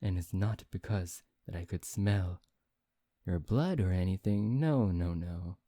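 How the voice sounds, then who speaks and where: A performer voicing a smug, teasing high-ranking vampire in an ASMR roleplay, theatrical and coaxing, with playful menace and mock politeness. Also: animated, measured pace, mellow, no echo, clean studio sound.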